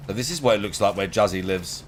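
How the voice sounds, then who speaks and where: A man's voice: lowish voice, quick pace, a little hiss or hum. Young man, sarcastic gaming banter. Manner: sarcastic, profane, chatty. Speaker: gaming video host. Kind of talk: casual let's play commentary.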